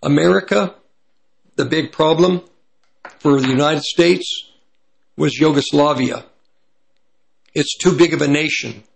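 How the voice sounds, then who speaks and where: A man with a slightly raised pitch and a strongly gravelly, fry-laden voice, hoarse from hay fever. He gives prophecy commentary, reading passages from an article and breaking off to correct slips. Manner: pauses to correct himself mid-sentence.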